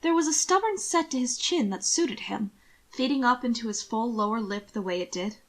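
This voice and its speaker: A woman reading scripted fiction aloud as narrator, in a measured, expressive storytelling manner. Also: a little high.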